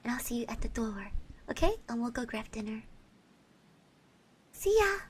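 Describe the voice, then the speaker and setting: A woman playing a shy, sweet schoolgirl classmate in an ASMR roleplay, speaking softly and hesitantly to the listener. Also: a little high, big pitch swings, moderate pace, slight background noise. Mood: soft, friendly. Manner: hesitant, stammering, often dropping to soft murmurs and whispers.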